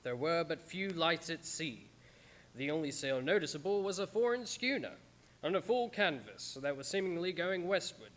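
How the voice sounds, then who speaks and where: A man playing a solemn narrator in a radio play, measured, suspenseful and ominous. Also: on the high side, very animated, a bit bright. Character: solemn narrator reporting an eerie shipwreck. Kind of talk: dramatic scripted radio narration.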